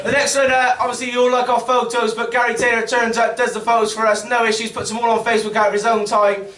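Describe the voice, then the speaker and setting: A man's voice: very high voice, level tone, fast talker. A man with an English accent, a club captain giving an informal, joking speech at an awards dinner and teasing the crowd. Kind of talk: informal banter-filled speech. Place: large function room at a dinner. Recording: echoey room, far mic, background noise.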